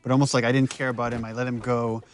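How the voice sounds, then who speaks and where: A man speaks as a director looking back on his work. His voice sits at an average pitch, and he explains things casually and analytically, slipping into quoted dialogue as he goes. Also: level tone, very fast, a little hiss or hum. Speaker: game director and writer commenting on scenes. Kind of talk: casual behind-the-scenes commentary.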